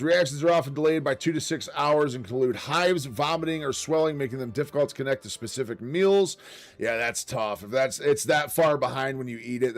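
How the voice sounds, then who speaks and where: A man hosting a casual livestream, reading notes aloud with chatty asides, his voice slightly raspy from a long stream. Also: medium pitch. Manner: chatty and upbeat, quiet asides while reading. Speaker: livestream host.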